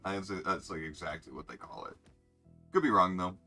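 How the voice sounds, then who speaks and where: A man's voice: deep voice, fairly quick, sharp tone. A young man streaming a racing game, rambling and joking as he reads out player names, with faint music behind. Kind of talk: casual live game commentary.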